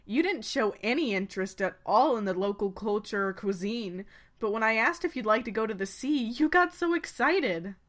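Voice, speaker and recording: A woman playing a friendly young female pegasus knight in a game fan dub, with a gentle, familiar way of talking. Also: fairly high pitch, expressive, moderate pace. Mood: amused. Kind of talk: acted game dialogue.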